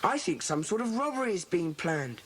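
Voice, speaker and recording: An actor playing a solemn, commanding schoolboy who leads a secret club in a children's audio drama, with an earnest, deliberate delivery. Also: mid-range voice, sing-song, lightly acted. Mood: thoughtful. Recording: faintly noisy 1980s cassette.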